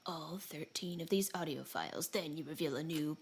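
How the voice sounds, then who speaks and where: Female podcast co-host, slightly low pitch, informal and rambling, self-deprecating gamer chat. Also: moderate pace, slight background noise. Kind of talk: casual chat about video games.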